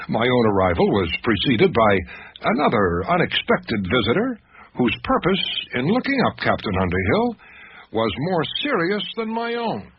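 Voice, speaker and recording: An actor playing a genteel, fussy older family doctor in a radio drama, speaking in a formal, emphatic, theatrical way. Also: male voice, on the high side. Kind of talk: radio drama narration and acting. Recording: dull, noisy radio drama recording.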